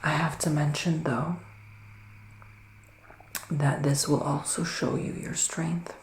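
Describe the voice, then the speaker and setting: A man speaking softly and often whispering in an intimate ASMR roleplay as a gentle psychic witch, with deliberate, soothing pauses. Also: a little high, little pitch movement, reverberant, a little hiss or hum, poor audio. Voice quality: soft, breathy, often dropping to a whisper. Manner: slow, deliberate, pausing, soothing delivery. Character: gentle male witch giving a psychic reading. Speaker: ASMR performer playing a psychic tarot reader.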